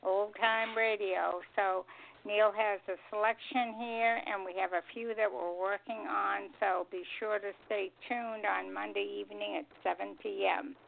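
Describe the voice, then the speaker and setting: A woman's voice: mid-range voice, steady tone, unhurried, gravelly, a little hiss or hum. An older woman co-hosting a radio show in casual conversation over a narrow phone line.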